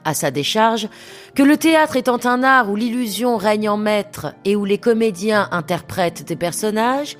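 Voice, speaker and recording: A woman narrating a scripted literary audio drama in a formal, measured storytelling manner. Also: medium pitch, lightly acted.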